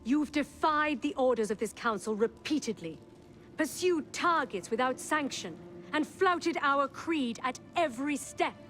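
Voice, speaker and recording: A woman playing a stern, authoritative leader of an assassin council in a video game, speaking in a formal, measured, solemn way. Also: high-pitched, lively intonation, a bit bright, faint music behind. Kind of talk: dramatic video game cutscene acting.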